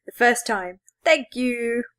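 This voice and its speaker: A woman's voice: a little high, lively intonation, slow, a bit bright. A woman co-hosting a podcast in casual chat. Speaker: podcast co-host.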